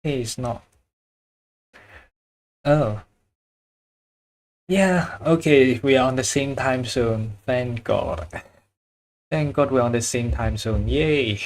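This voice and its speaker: Slow, halting man with a Chinese accent. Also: medium pitch. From China. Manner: halting, searches for words, chuckles often. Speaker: drawing livestreamer. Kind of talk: casual livestream chat while drawing.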